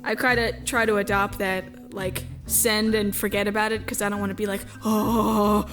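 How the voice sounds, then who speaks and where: A woman from the US Midwest chatting casually as an interview guest, easygoing, rambling and quick to laugh. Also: medium pitch, little pitch movement, over music. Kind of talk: casual chat.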